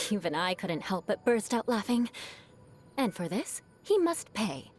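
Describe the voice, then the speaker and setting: An actress voicing a proud young noblewoman knight in a video game, with a haughty, formal, theatrical manner and a vengeful streak over a soft heart. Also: medium pitch, very animated, a little slow, slight background noise. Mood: reflective, amused, then indignant, vengeful. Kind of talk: video game character voice lines. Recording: music behind the voice. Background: background music.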